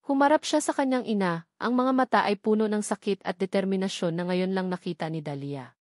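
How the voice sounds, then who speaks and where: A woman storyteller delivers scripted drama narration at a middle pitch. The recording is dry, with no room around the voice. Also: a little fast, a little mellow, no room sound.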